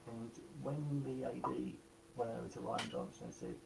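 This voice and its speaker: A man with a croaky voice, a guest actor talking in a casual live chat, halting with many restarts. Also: mid-range voice, wildly swooping pitch, noisy background.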